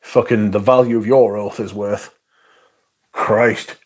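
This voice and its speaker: A man's voice: on the low side, steady tone, takes it slowly, darkish tone. A man hosting a livestream, reading out chat and bantering in a sweary, sarcastic way.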